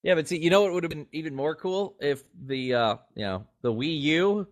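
A man co-hosting a podcast, talking casually about video games at a medium pitch. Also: animated, brisk. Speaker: podcast co-host. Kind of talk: casual video game discussion.

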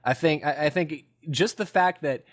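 A man's voice: on the high side, moderate pace. A man co-hosting a casual gaming podcast, talking conversationally and acting out imagined quotes as he goes. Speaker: podcast co-host. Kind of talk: casual video game podcast discussion.

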